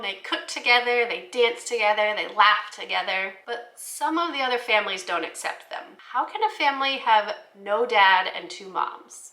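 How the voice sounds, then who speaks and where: A woman teacher giving an instructional talk to fellow educators, explaining things clearly. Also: a little high, pushed and bright. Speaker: teacher presenting children's books for the classroom. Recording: roomy, echoey indoor sound.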